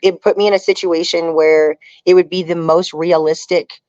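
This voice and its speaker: A woman's voice: on the low side, normal speed, no echo, highs cut off. A woman telling stories as an interview guest in a casual, chatty way, often adding "you know". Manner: chatty, animated retelling with frequent "you know". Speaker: reality TV survival contestant, interview guest. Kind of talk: casual conversational storytelling.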